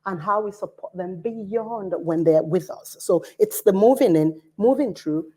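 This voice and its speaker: A woman's voice: medium pitch, mellow. A woman in her forties with a Nigerian accent, speaking as an academic director on a conference panel in an earnest, storytelling way.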